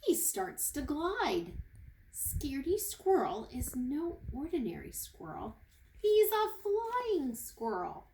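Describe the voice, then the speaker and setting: A woman reading a children's storybook aloud like a kindergarten teacher, with expressive, deliberate phrasing for young listeners. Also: high voice. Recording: echoey room with faint noise.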